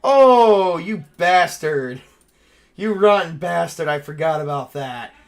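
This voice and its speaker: A man casually narrating a tabletop role-playing game as game master, excitable and quick to swear. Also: on the high side.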